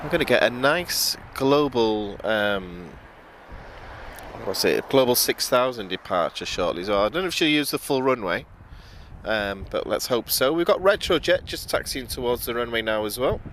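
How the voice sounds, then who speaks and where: Man, British accent, medium-pitched voice, informal live stream commentary, chatty, outdoor background noise. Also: expressive, normal speed. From United Kingdom. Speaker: live stream host and plane-spotting commentator. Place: outdoors by an airport. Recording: outdoor noise, aircraft and vehicle ambience. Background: outdoor urban and vehicle noise.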